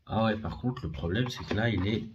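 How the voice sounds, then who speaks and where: A man's voice: on the low side, brisk, lo-fi sound, a little hiss or hum. A man with a head cold, talking casually and hesitantly, with many fillers and self-corrections, as he shows secondhand discs from his collection. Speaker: DVD and Blu-ray collector. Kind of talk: casual unboxing video.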